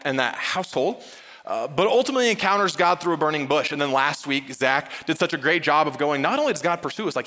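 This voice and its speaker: A man preaching a conversational sermon in an informal, joking way, with emphatic pauses and acted-out dialogue. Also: a little high, sharp tone. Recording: reverberant hall sound.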